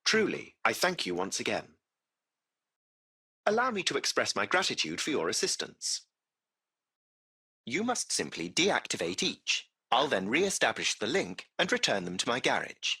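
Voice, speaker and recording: A man's voice: medium pitch, huge pitch swings, normal speed, creaky, sharp tone, breathy edge, full character voice. A man playing a courteous, formal AI taxi-service machine in a video game, with precise, butler-like phrasing. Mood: grateful, courteous. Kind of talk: scripted video game voice acting.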